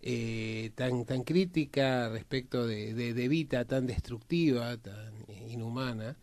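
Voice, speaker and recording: A man with an Argentine accent giving conversational political and historical commentary, halting and repeating words for emphasis. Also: medium pitch, a little mellow, no echo.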